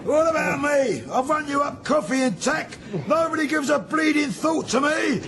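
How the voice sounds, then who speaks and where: An actor playing a self-pitying, aggrieved ship's cook who whines in a radio drama. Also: male voice, very high-pitched, moderate pace, background noise. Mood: aggrieved whining. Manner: whining, complaining.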